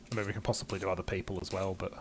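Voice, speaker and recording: An older man giving casual let's-play commentary, musing to himself and reading game text aloud in a low-key way. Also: flat delivery, rapid-fire, a little hiss or hum. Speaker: game streamer.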